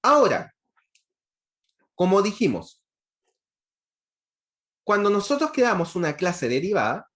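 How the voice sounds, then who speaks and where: A man's voice: high voice, fairly bright tone. A man giving an online university lecture on programming, explaining step by step and checking in with frequent rhetorical questions.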